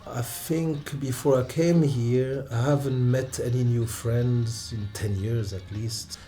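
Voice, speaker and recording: A man in his thirties with a Romanian accent, a non-native English speaker, telling stories from his own life in an interview, halting and restarting often and laughing along. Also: mid-range voice, fairly even intonation, warm tone, faint music behind. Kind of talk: relaxed personal storytelling.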